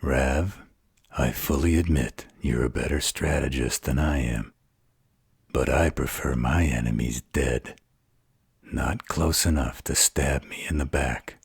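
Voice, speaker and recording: A man's voice: a bass voice, measured pace, creaky, breathy edge, dry recording, studio quality, exaggerated voice. A man playing a snarky, distrustful supernatural fighter in an audio roleplay, with a mocking drawl. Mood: suspicious.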